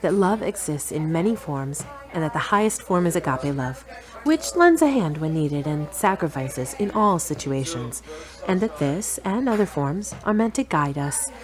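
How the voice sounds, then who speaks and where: Woman playing frank, self-assured girlfriend, lowish voice. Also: expressive, moderate pace. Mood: frank, serious. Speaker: actor in an audio drama. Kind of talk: scripted radio-play dialogue.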